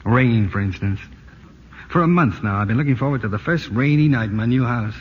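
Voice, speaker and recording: A man's voice: medium pitch, brisk, dull audio, close to an everyday voice. A tired ad man stuck at the office on a rainy night, mocking himself and joking his way through , as a man plays him in a 1940s radio play. The old broadcast sound is thin and hissy, with a long echo hanging behind the voice. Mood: rueful. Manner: rueful, self-mocking. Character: harried male ad man, weary, joking. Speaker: Jim Blandings.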